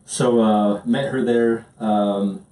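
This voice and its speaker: French guy, low dark voice, lots of ums, kinda far off the mic in an echoey room. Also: fairly even intonation, slow. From France. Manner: hesitant, many ums and restarts. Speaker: interview guest. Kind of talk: casual storytelling. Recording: roomy, distant mic.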